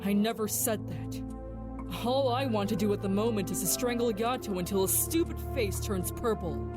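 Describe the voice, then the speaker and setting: A woman's voice: mid-range voice, normal speed, loud music behind the voice, lightly acted. A woman playing a stern, blunt, hot-tempered female agent in a fan audio drama, curt and scolding. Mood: scornful.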